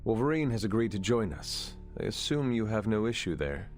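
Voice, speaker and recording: Resurrected demon hunter, game cutscene: deep, solemn, formal diction, faint music behind. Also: male voice, big pitch swings. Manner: formal, measured, avoids contractions. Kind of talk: video game cutscene acting.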